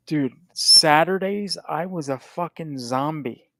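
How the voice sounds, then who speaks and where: A man's voice: fairly high pitch, takes it slowly, vocal fry, fairly bright tone, no room sound. A man hosting a podcast, chatting casually in a rambling, profane way with frequent restarts. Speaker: podcast host. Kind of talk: casual chat.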